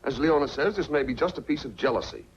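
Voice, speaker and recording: An actor playing a fair-minded, cautious small-town police chief in a 1940s film, folksy and deliberate. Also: male voice, medium pitch, lively intonation, lightly acted. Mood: firm. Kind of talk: 1940s film noir acting. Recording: dull, faintly noisy 1940s film soundtrack.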